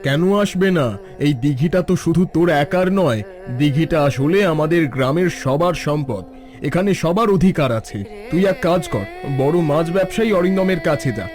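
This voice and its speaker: A man's voice: on the high side, animated, normal speed, darkish tone, faint music behind, natural voice. A man voicing a wise, encouraging male village schoolteacher in a cartoon, speaking in a fatherly way. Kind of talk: cartoon voice acting.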